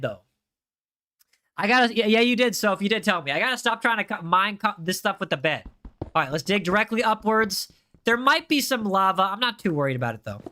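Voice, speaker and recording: A young male streamer with a high, bright voice talks to his live chat while he plays. He is casual and chatty and often says the same phrase two or three times in a row.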